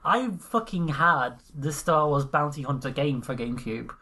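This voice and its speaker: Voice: medium pitch, lively intonation, moderate pace, sharp tone. A podcast co-host in casual chat who swears freely, laughs mid-sentence and often trails off and restarts.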